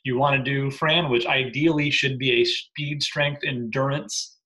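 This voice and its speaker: A man in his thirties, a gym programming coach, briefing gym owners from a living room on his laptop webcam, emphatic and energetic with frequent "right?" asides. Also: medium pitch, measured pace, rough recording.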